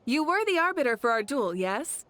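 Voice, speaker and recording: A woman's voice: high-pitched, sing-song, normal speed, a bit bright, a little hiss or hum. A woman voicing a confident, regal female ruler in a video game, speaking in a commanding way. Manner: commanding, laughs easily. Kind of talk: game voice acting.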